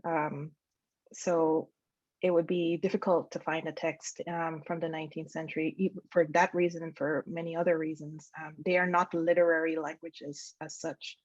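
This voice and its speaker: Soft-voiced woman answering questions on a call. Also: sits low, little pitch movement, moderate pace, dry recording, dull audio. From Guyana. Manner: thoughtful, many ums and restarts. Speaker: academic speaker. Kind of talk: answering questions after a lecture. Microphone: video call.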